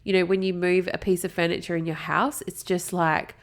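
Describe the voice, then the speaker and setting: A woman's voice: lowish voice, little pitch movement, a little fast. An upbeat, chatty Australian woman in her thirties from South Australia with a blocked nose, hosting a solo podcast and wandering into friendly digressions. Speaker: podcast host. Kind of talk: solo marketing podcast talk.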